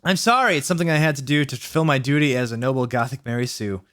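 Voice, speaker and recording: A man's voice: mid-range voice, quick pace, fairly bright tone, natural voice. A man voicing an overwrought gothic teenage girl from fan fiction in a melodramatic, exclaiming manner. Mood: anguished.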